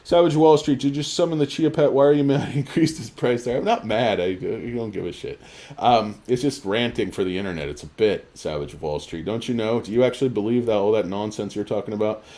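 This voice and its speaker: Crude, ranting low-voiced man. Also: fairly quick, warm tone. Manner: crude, insulting, profane; sarcastic and mocking. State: tired. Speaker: livestream host. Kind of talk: ranting livestream stock commentary.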